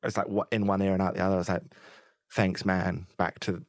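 A man, a musician guest, talking candidly and openly in a laid-back, soft-spoken way. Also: low-pitched, flat delivery, a little fast, dry recording, clean studio sound. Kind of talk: candid interview conversation.

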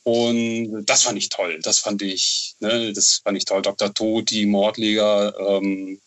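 A man's voice: fairly low pitch, level tone, slow pace, fairly bright tone, dull audio. A man from Bonn in western Germany chatting enthusiastically as an interview guest, often adding tag questions to seek agreement. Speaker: interview guest, novel author. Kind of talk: casual reminiscing interview.

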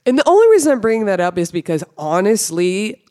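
A woman hosting a podcast, telling a story in a blunt, bawdy, animated way, congested from a cold. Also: medium pitch, fast talker. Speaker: podcast host. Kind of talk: casual chat.

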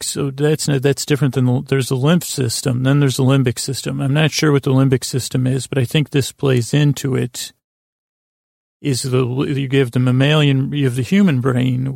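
A man hosting a sleep podcast in a creaky, tired voice, rambling haltingly through tangents and often interrupting himself. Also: medium pitch, a little mellow, dry recording, studio quality. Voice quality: creaky, croaky voice. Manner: meandering, halting, self-interrupting, trailing off. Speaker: podcast host.

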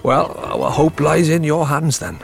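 Gravelly Welsh politician. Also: male voice, a little high, sing-song, unhurried, soft background music, exaggerated voice. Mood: wry, cocky. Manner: wry, self-mocking, defiant. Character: brash working-class Welsh male politician.

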